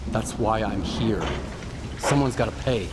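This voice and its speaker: Guarded male lone stalker played by an actor, game cutscene. Low register, blunt lines, loud ambient noise. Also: unhurried, close to an everyday voice. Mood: bitter. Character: guarded, embittered lone stalker seeking payback. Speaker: video game protagonist. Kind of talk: terse video game dialogue.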